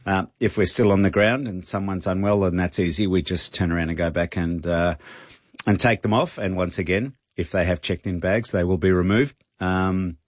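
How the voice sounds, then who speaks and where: A man, a pilot guest on a radio interview, speaking haltingly with many fillers. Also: sits low, fairly even intonation, no room sound, muffled recording.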